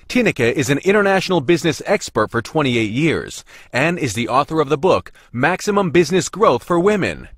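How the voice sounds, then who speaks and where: A man's voice: on the high side, no echo, studio quality. A man reading a scripted show introduction in announcer style. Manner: announcer read.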